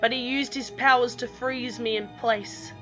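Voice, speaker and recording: A woman narrating a scripted first-person story in a dramatic, deliberate manner. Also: a little high, fairly even intonation, sharp tone. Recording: dull lo-fi microphone sound. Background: music.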